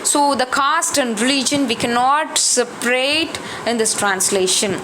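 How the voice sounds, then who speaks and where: A woman's voice: sits high, measured pace, background noise. A woman from Tamil Nadu with a Tamil accent, giving a classroom lecture, repeating points and asking rhetorical questions with many fillers. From Tamil Nadu, India. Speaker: college lecturer.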